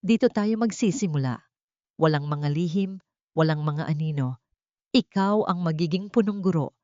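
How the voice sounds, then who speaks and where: A woman's voice: lowish voice, normal speed, warm tone, dry recording, lo-fi sound, natural voice. A woman voicing a devoted, protective young man in a romantic audiobook, earnest and reassuring. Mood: tender, earnest.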